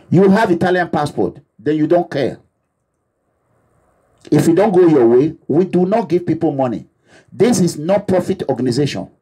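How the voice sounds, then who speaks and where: Husky, lively Nigerian man, high voice. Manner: preaching, repetitive, emphatic. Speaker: activist movement leader. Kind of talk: impassioned address to supporters. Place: abroad, away from home.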